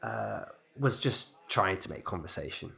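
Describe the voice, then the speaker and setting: A man plays a courteous, semi-retired newcomer in an audio drama. His voice is creaky and moves about a lot in pitch. He sounds hesitant but keeps gently probing with curiosity. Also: animated, measured pace, full character voice.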